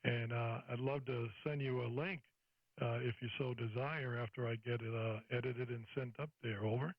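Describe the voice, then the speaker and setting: A man's voice: medium pitch, fairly even intonation, moderate pace, husky, dry recording, highs cut off. An older American man chatting casually and at length as a ham radio operator, handing over with radio procedure words. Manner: chatty, says "over" to hand off. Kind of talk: casual two-way radio chat.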